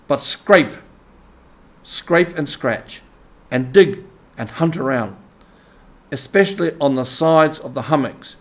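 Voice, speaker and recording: A man voicing an excitable, bossy male rat in a storybook reading, exclaiming emphatically with impatience. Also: medium pitch, pitched above the performer's own voice. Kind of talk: animal character in storybook reading.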